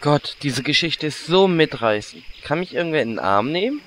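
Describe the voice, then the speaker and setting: A man's voice: a little high, sing-song, moderate pace, a bit bright, slight background noise. A man playing a small, melodramatic orc who whines with fake sensitivity in a comedy audio drama. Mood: needy, snippy. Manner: exaggerated, theatrical whining.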